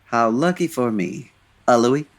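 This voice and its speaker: An actor playing a suave, dry-witted 1940s gentleman detective, urbane and measured, in an old-time radio style. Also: mid-range voice, animated. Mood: wry, probing.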